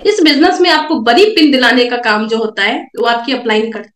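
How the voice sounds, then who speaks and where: A woman's voice: on the high side, fairly quick, a bit bright, roomy sound. Young woman coaching with a sore throat. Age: young adult. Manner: coaching, direct, repeats points. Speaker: network marketing speaker. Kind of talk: motivational seminar talk with slides.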